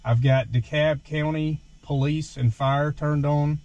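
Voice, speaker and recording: A man's voice: fairly high pitch, fairly even intonation, slow, a little mellow, muffled recording. A man talks casually and without a script inside a parked truck, explaining his gear step by step in a plain-spoken way. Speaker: vlogger demonstrating his gear. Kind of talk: casual unscripted product walkthrough.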